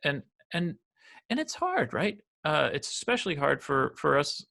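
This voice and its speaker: An earnest middle-aged American man speaks as a podcast guest, his voice gravelly with a bright, forward edge. He talks in a conversational way and keeps stopping to restart his sentences. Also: a little high, big pitch swings, normal speed. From United States. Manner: earnest, self-correcting with many restarts. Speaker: podcast guest, financial planner. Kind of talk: conversational interview.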